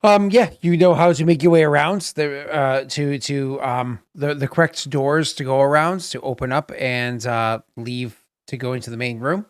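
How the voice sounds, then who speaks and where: A man running a tabletop game, his voice mid-pitched and close on a dry home microphone. He rambles through his narration with fillers and restarts. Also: normal speed, hardly any pauses, smooth, no echo. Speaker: game master.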